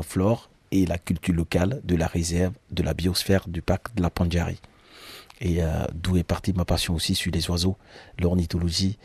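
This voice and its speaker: A man's voice: low-pitched, a little mellow, breathy edge, no room sound. A man in his thirties from Benin with a Beninese accent, telling his own story in an interview as a guide, repeating words for emphasis. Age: 30s. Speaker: tour agency founder and nature guide.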